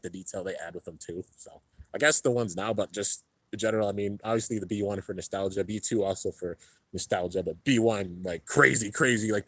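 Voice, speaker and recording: Young male streamer, relaxed rambling chat, slightly congested, dry close sound. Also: husky, dry recording, rough recording. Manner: laid-back, rambling, heavy on fillers. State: a little congested. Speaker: YouTube host reading live chat. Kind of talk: casual livestream chat. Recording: low-end home stream setup.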